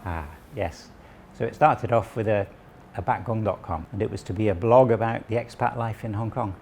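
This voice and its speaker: A man around sixty, originally from Wales, calmly explaining local history and his website as an interview guest, with small restarts. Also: lowish voice, normal speed, slight background noise. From southwest Wales. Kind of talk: relaxed conversational explanation.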